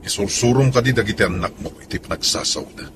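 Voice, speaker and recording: A man's voice: mid-range voice, fast talker, natural voice. A man with a Filipino accent playing a curt, evasive husband and father in a radio drama. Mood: cold, annoyed. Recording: faint background ambient noise.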